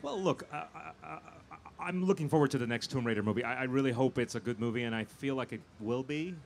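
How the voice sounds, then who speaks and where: A man's voice: mid-range voice, fast talker, vocal fry. A man with a mid-range voice speaks as a producer guest in a panel interview. He is emphatic and conversational, doubling back on words to press a point. Light event noise sits behind him. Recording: live event floor with background noise.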